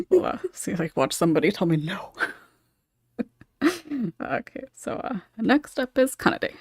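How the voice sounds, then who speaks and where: A woman's voice: mid-range voice, sing-song, unhurried, long pauses, breathy edge, dry recording, studio quality. A woman hosting a casual podcast panel, talking informally as moderator.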